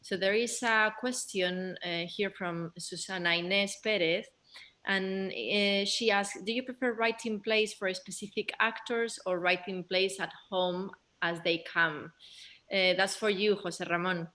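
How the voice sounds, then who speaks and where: A woman's voice: mid-range voice, steady tone, a little slow, bright, forceful tone. A woman moderating an audience Q&A, passing questions on to the guests.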